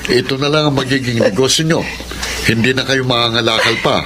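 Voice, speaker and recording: An actor playing a kindly, reassuring charity benefactor in a Filipino radio drama. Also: male voice, mid-range voice, fairly even intonation, brisk, noisy background, natural voice.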